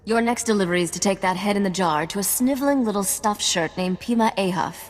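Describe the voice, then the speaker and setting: An actress playing a businesslike, sardonic smuggler's partner in a video game, dry and teasing. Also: female voice, mid-range voice, fast, close to an everyday voice. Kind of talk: video game cutscene acting. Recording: long hall reverb.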